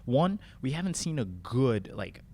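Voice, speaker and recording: A man co-hosting a casual discussion, speaking haltingly, repeating words and checking in with short tag questions. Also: medium pitch, big pitch swings, warm tone, slight background noise. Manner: halting, repeats words, ends phrases with "right?". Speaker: podcast co-host.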